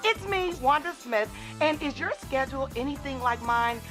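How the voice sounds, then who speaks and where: A woman's voice: high voice, expressive, normal speed, music in the background. A woman reading an upbeat ad in a bubbly, sing-song way. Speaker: ad spokeswoman. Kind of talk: upbeat radio ad read.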